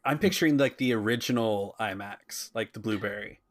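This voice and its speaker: A man's voice: lowish voice, brisk. A man hosting a podcast and narrating a tabletop role-playing game as game master.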